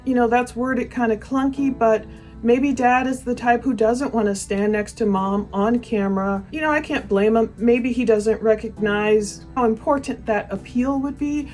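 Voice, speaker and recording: High-voiced woman doing true crime commentary. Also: normal speed, darkish tone, faint music behind. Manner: conversational, opinionated, with asides. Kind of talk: casual true crime commentary, reading posts aloud.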